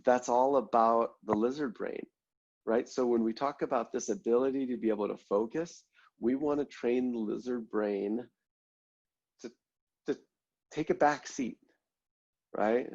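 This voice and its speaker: A man leading an online mindfulness class, congested and a little nasal, explaining breathing steps in a relaxed way with many ums and "right?" check-ins. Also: mid-range voice, a little slow, long pauses, highs cut off. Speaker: mindfulness instructor.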